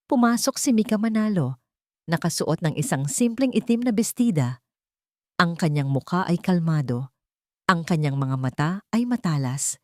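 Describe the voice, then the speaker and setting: A woman narrating a romantic drama in a steady, dramatic storytelling manner. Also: fairly low pitch, normal speed, no room sound, studio quality. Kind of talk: scripted audiobook narration.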